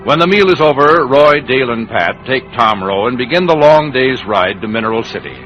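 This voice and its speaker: A man narrating a western adventure for old-time radio in a measured, dramatic storytelling style. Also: mid-range voice, lively intonation, lightly acted. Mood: suspenseful. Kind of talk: 1940s-50s radio scripted narration. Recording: dull old radio recording. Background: loud music.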